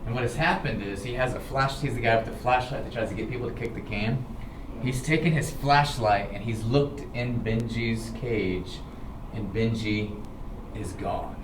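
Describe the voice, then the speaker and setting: An American man tells informal personal stories in an animated, dramatic way, often checking in with his listeners. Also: medium pitch, normal speed. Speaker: storyteller, show host. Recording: roomy, distant, clearly noisy recording.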